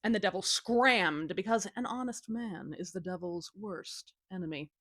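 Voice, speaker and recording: A woman's voice: medium pitch, moderate pace, a bit bright, no echo, clean studio sound. A woman retelling a folktale as a storyteller, in a casual, cheeky and informal way from a script. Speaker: storyteller, host.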